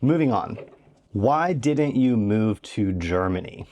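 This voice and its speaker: A man's voice: lowish voice, animated, slow pace, a little mellow. A middle-aged man from Oklahoma with an American accent, narrating a vlog in a matter-of-fact way with dry, wry asides. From Oklahoma, USA. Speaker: vlogger.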